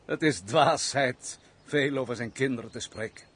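A man's voice: medium pitch, normal speed, fairly bright tone, slightly rough. A man acting an embittered, brooding nobleman in a Dutch radio play, with formal, declamatory old-fashioned diction. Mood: curt, commanding. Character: brooding, embittered nobleman, weary of society, curt master.

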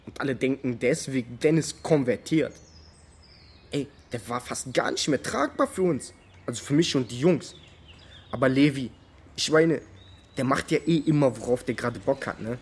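Slangy young basketball buddy, blunt talk, medium pitch with a rough grain. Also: male voice, moderate pace. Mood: bewildered, put out. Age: young adult. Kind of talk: naturalistic radio drama, interview testimony.

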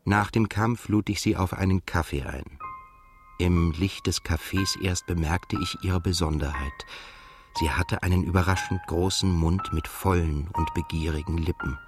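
Radio play narrator: introspective writer, low male register, measured literary delivery, music underneath. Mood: reflective. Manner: measured, reflective. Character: introspective male émigré writer recounting his love affair. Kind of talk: literary first-person narration in a radio drama. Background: prominent music.

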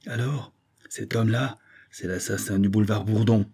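A man voicing an impetuous male detective who barks orders, his voice raised and pitched up in an audiobook reading. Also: fairly even intonation, normal speed, husky. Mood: commanding. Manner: commanding, shouted orders. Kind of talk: audiobook character voice.

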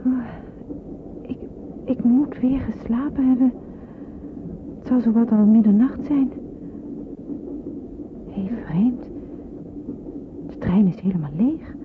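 A frightened, lonely young refugee tells the story slowly and wistfully. The voice sits a little high and hesitates. It comes from an old radio play, very muffled, with clear noise. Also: female voice. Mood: drowsy, uneasy. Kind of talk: radio drama acting, first-person narration.

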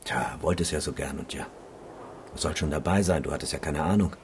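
Very deep, sardonic eyewitness. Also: male voice, fairly quick, a little hiss or hum. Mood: sardonic, bleak. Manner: sardonic, matter-of-fact. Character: cynical, bleak photographer who witnessed reactor disaster. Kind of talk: radio drama monologue and dialogue.

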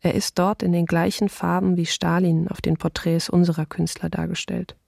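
Restrained, even-voiced female eyewitness. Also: lowish voice, moderate pace, creaky, no room sound, studio quality. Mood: sombre, restrained. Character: sombre female eyewitness of wartime occupation recalling events. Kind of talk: restrained documentary radio play monologue.

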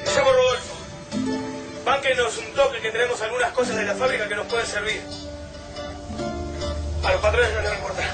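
Very high-pitched, rough Argentine factory worker. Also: male voice, fairly even intonation, brisk, pauses often. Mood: friendly, explanatory. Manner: colloquial, exclamatory working-class talk. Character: rough, excitable male factory worker turned survivor. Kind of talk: radio drama acting. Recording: distant echoey mic, loud music and crowd.

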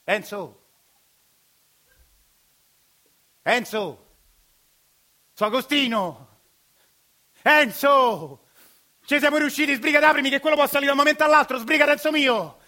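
An actor with a Roman accent playing a desperate father who shouts and pleads through a locked door. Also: extremely high pitch, moderate pace, long pauses, voice pushed up. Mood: frantic.

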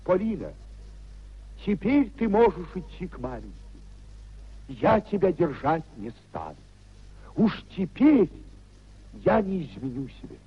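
A man's voice: sits high, husky, full character voice. An actor playing a proud, idealistic young man who declaims fervently, in a 1950s Soviet radio play. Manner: declamatory, impassioned oratory. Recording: muffled 1950s radio theatre recording.

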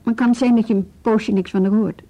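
An actress playing a calm, practical policewoman in a radio drama, talking in a measured, reassuring way. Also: fairly high pitch, poor audio. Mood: gently cautioning. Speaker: actor in a radio play. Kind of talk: naturalistic radio drama dialogue.

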